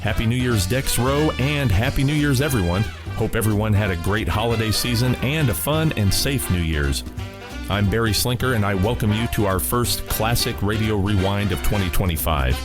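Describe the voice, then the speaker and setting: A man's voice: fairly low pitch, expressive, moderate pace. A man hosting a radio show, reading a scripted introduction. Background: clear guitar music bed.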